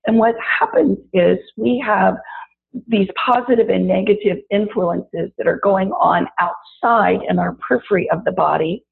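A woman with a raspy voice after an illness, teaching a wellness lesson and chatting directly to her listeners. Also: mid-range voice, normal speed. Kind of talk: guided body-awareness talk. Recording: tinny phone-like audio.

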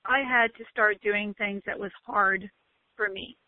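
Loud woman giving a pep talk, leans hard on her words, tinny phone sound, dead dry. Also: medium pitch, steady tone, no echo. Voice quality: loud, bellowing voice. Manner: emphatic, drawn-out stresses. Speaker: motivational speaker. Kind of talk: motivational talk.

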